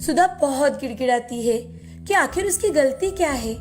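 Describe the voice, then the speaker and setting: Female narrator for moral bedtime stories: high voice, scripted delivery, soft music bed. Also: animated, normal speed. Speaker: storyteller.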